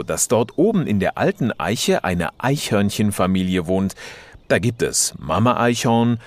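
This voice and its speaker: A man's voice: fairly low pitch, dry recording. A man narrating a children's story expressively, full of wonder and speaking directly to young listeners.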